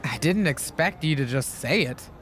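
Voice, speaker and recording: A woman playing an excitable, blunt wrestling official in a video game cutscene. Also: low-pitched, moderate pace. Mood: taken aback.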